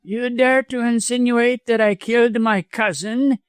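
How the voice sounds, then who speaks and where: A man's voice: extremely high pitch, fairly even intonation, unhurried, clean tone, dry recording, studio quality, voice pushed up. A man voicing a venomous, cowardly lawyer villain with a harsh, snarling manner in an audiobook story. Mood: snarling, indignant. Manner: snarling, gasping, harsh, chuckling.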